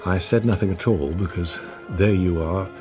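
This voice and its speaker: An actor as an earnest man with a low voice, confiding and halting in an intimate radio drama. Music plays clearly behind him. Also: natural voice. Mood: anguished, confiding.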